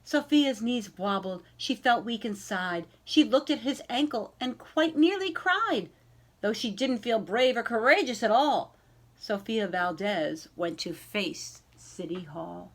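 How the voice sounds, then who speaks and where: A woman reading a children's picture book aloud in an expressive storytelling style, addressing young listeners directly. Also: on the high side. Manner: animated, pausing to ask young listeners questions.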